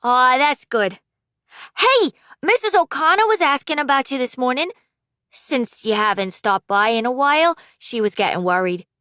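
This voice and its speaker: A woman's voice: high voice, animated, fairly bright tone, smooth, no room sound, clean studio sound, pitched above the performer's own voice. A woman voicing a young sibling who teases and fires off nosy questions. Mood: gossipy. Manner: rapid-fire teasing questions.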